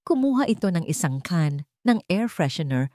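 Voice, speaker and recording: A woman with a Filipino accent narrates a dramatic story. Her voice sits on the low side for a woman. The sound is dry, with very little room in it. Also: very animated, moderate pace, mellow, dry recording.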